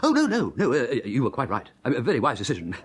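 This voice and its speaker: Affable upper-class English young gent, radio comedy. Wildly animated pitch, slightly husky, jaunty, easily flustered, fond of florid slang. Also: male voice, fairly quick. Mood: flustered, polite. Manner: bumbling politeness, breezy period slang, florid phrasing. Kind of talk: radio comedy acting and first-person narration.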